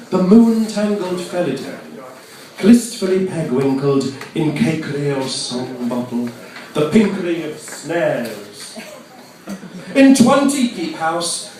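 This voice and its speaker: A man declaims nonsense poetry in a very echoey space, heard from a distance. His delivery is theatrical and mock-grand, slow and carefully enunciated, with big swoops in pitch. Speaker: poet performing live. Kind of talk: theatrical nonsense-verse recital. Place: reverberant venue at an arts festival. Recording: echoey hall, distant microphone.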